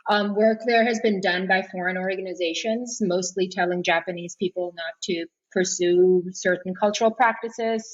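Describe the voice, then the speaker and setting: A woman's voice: mid-range voice, muffled recording. A woman in her thirties from Switzerland, telling her story conversationally as an interview guest. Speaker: podcast guest, nonprofit co-founder.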